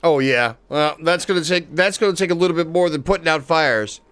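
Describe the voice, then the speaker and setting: A man's voice: fairly high pitch, a little fast, smooth. A man live-streaming a game, chatting casually with viewers and swearing freely as he plays. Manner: excitable, swears casually, talks to chat.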